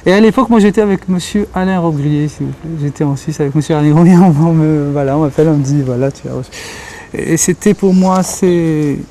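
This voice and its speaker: Thoughtful man, mellow voice. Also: on the high side, quick pace, a little hiss or hum. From Algeria. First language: Kabyle. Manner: thoughtful, searching for words. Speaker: interviewee, writer and journalist. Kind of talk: reflective interview talk.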